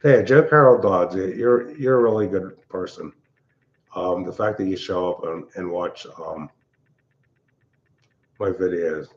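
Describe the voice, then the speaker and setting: An older man chats on a late-night livestream, his voice loud and pitched on the low side. He talks in a blunt, rambling, irritable way, speaking from a big open-plan house. The audio is dull and lo-fi. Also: a little slow, long pauses. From Pennsylvania. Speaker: livestream host. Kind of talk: casual livestream chat.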